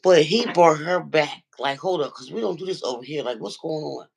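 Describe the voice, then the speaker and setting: A man with a deep, nasal voice who calls into a livestream and tells a story, acting out what other people said. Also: moderate pace, creaky, husky. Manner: animated storyteller, acts out quoted dialogue. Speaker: regular viewer calling into a livestream. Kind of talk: casual chat, retelling an online argument.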